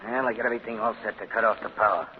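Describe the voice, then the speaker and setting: An actor playing an edgy gangster henchman in a 1940s radio drama, rough and jumpy. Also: on the low side, fairly even intonation, very fast, narrow, tinny audio, lightly acted. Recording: scratchy 1940s radio broadcast.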